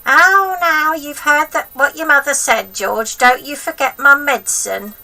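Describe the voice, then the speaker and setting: A woman's voice: high-pitched, a little slow, gravelly, sharp tone, recorded from a distance, pitched above the performer's own voice, exaggerated voice. A woman voicing a selfish, grumpy old grandmother from a children's storybook, snappish, scolding and demanding. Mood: bossy. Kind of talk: acted children's storybook reading.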